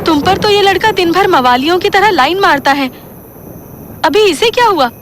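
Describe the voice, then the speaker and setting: Actress as suspicious young woman, high pitch, chatty, questioning, audio drama. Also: animated, normal speed, noisy background. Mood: suspicious, uneasy.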